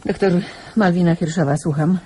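A woman's voice: lowish voice, normal speed, mellow, soft background music, close to an everyday voice. An actress playing a haughty, indignant woman doctor in a radio drama, exclamatory and agitated.

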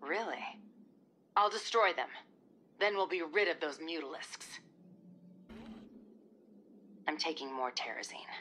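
An actress playing a young military operative in a video game, cool and determined, speaking in a clipped, serious way. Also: female voice, sits low, expressive, a little slow, pauses often, pushed and bright, a little hiss or hum, exaggerated voice. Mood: resolute. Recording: game music behind the voice.

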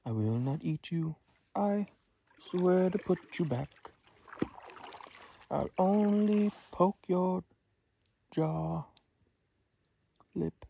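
Man vlogging casually with a gravelly voice. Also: high voice, lively intonation, drawn-out words, long pauses, warm tone. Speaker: host. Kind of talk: casual outdoor vlog, talking to himself. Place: outdoors in a kayak on the water. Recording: noisy outdoor recording on the water.

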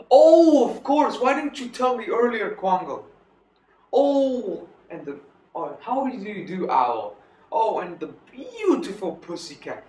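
Muddled old turkey parson, pompous, theatrical, high-pitched, slow delivery, stammering and befuddled. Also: male voice, full character voice. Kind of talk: theatrical comic monologue performance. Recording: echoey room, distant mic.

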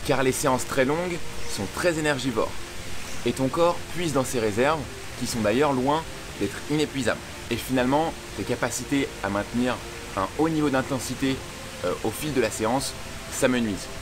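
A young man, a swim coach, explaining to the viewer outdoors by a pool, talking informally and clearly. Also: mid-range voice, moderate pace, noisy background. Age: young adult. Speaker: swim coach and channel host. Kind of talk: direct-to-camera tutorial. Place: outdoors by a pool under a covered walkway.

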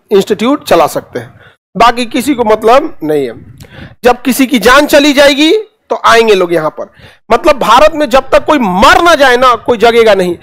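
A man's voice: extremely high pitch, fairly bright tone. A man in his thirties with a Bihari accent, teaching in an informal, sarcastic, colloquial style full of rhetorical questions. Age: 30s. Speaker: coaching teacher. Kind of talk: informal classroom lecture with commentary. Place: indoor classroom studio at a presentation board.

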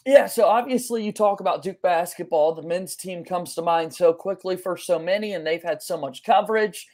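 A man's voice: sits high, normal speed, darkish tone. A man in his thirties hosting a sports podcast in an eager, enthusiastic way from a small room at home. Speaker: podcast host.

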